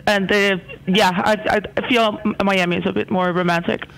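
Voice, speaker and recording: A woman from Denmark with a Danish accent, speaking haltingly as an academic guest interviewed by telephone. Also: medium pitch, steady tone, creaky, a little hiss or hum, poor audio. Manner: hesitant, halting delivery. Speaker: interview guest, philosophy professor.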